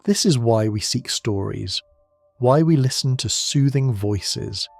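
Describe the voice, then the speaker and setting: A man giving calm, slow, soft-spoken bedtime narration. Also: mid-range voice, gravelly, dry recording, studio quality, soft background music. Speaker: sleep narrator.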